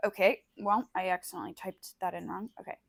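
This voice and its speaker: A woman's voice: medium pitch, fairly even intonation, quick pace, creaky. An American woman from California co-hosting a podcast, chatting casually in a slangy, hedging, informal way. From California, United States. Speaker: podcast co-host. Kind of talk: casual chat.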